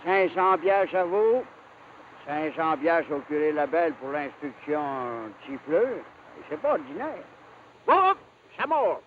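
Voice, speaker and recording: A man's voice: fairly high pitch, big pitch swings, slow, phone-quality sound. An actor playing an easygoing, simple backwoods man in a 1950s television drama, with a folksy Quebec French accent. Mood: folksy, chatty. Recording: thin, hissy old television soundtrack.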